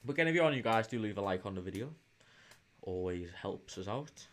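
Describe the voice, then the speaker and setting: Low-voiced Liverpool man hosting a livestream. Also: expressive, a little fast. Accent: Liverpool English. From England, Liverpool. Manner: hesitant, informal, reading out viewer comments. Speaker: livestream host. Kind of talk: casual live chat with viewers, football watch-along.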